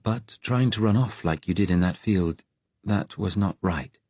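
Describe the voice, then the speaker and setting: A man narrating an audiobook, voicing a calm, direct resistance woman with a serious, measured manner. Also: sits low, breathy edge, no echo. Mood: calm, gentle. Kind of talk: audiobook character dialogue.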